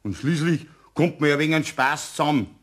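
A man playing a jovial, teasing locksmith in a radio play, with a husky voice and a Bavarian accent. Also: on the high side, brisk, a bit bright, lightly acted. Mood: jovial, coaxing. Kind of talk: German radio play acting.

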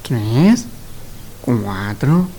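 A man playing a greedy, gullible traveler in a storybook radio play, eager and halting. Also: medium pitch, big pitch swings, mellow, slight background noise.

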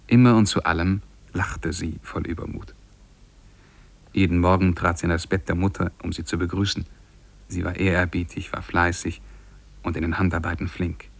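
An actor playing a lovestruck young scholar who tells his own story in measured, lyrical German radio-play style. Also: deep voice, no echo. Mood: calm, measured.

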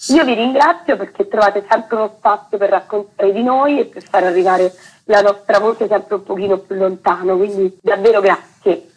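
A woman's voice: mid-range voice, fairly even intonation, normal speed, husky, poor audio. A woman being interviewed on the radio as a theatre director, explaining earnestly in long, fluent sentences.

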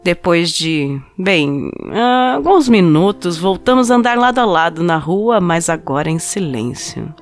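Audio drama narrator: actress as a heartbroken young woman, lowish voice, confessional and wistful, music underneath. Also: lively intonation, a little slow, few pauses. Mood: giddy, nostalgic. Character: heartbroken young woman, drunk, wistfully recalling a lost love. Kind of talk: dramatized first-person short story narration in a podcast.

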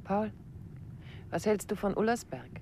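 An actress playing a detective's quick-witted wife in a 1950s radio play, probing and gently reproachful. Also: female voice, mid-range voice, lively intonation, slight background noise, natural voice. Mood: thoughtful.

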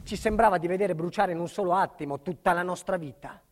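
A man's voice: high-pitched, fast, natural voice. An actor playing an earnest, embattled businessman telling his life in a theatrical monologue, with emphatic, dramatic phrasing. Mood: anxious, dramatic. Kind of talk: theatrical first-person monologue. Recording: reverberant theatre hall recording.

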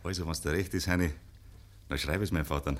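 A man's voice: extremely low pitch, steady tone, quick pace. A man playing an eager, good-humoured suitor in a Bavarian dialect radio drama from the 1950s, coaxing and earnest. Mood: tender.

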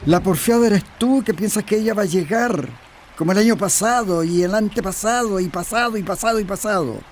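Man acting gruff weary Chilean husband, noisy recording. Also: high-pitched, fairly quick, close to an everyday voice. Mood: irritated, resigned. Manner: curt, grumbling. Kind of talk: radio drama acting. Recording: clearly noisy radio play recording.

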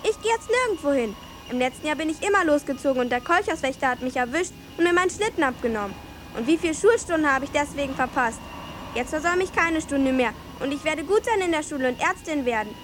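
A woman's voice: high-pitched, expressive, fast, a bit bright, slight background noise. A female performer playing an eager, determined young village schoolgirl in a radio drama, speaking in an earnest, childlike way. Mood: earnest, proud.

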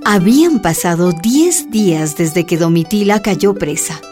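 A woman's voice: lowish voice, expressive, a little slow, a little mellow, soft background music. A woman acting as the narrator of a radio drama, reading scripted dramatic narration. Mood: narrating.

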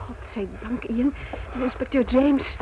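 An actress playing an anxious, fretful wife on the run in a radio drama. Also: female voice, on the high side, a little slow, lightly acted. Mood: frightened. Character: frightened, anxious wife fleeing in the dark. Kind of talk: Dutch radio drama acting. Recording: dull, faintly noisy old radio recording.

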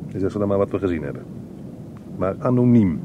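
A man's voice: deep voice, expressive, brisk, dark tone, lo-fi sound, a little hiss or hum. An actor playing a dismissive, evasive man who brusquely placates his partner in a radio play. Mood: grudging. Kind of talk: Dutch radio play acting.

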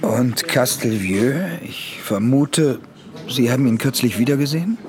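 An actor in a radio drama playing a measured police detective who speaks and questions carefully. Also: male voice, mid-range voice, darkish tone, close to an everyday voice. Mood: measured, probing.

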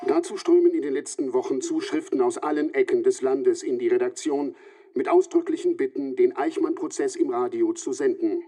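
An actor playing a measured radio news reporter, reading scripted narration in a formal manner. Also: male voice, mid-range voice, mellow, lightly acted.

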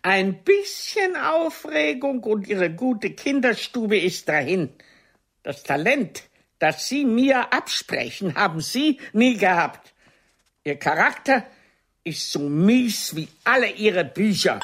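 An actress playing an aging, proud and wounded stage diva in a German radio play, with a deliberate, cutting, theatrical delivery. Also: female voice, mid-range voice, very animated, a bit bright, heavily acted. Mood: bitter, accusing.

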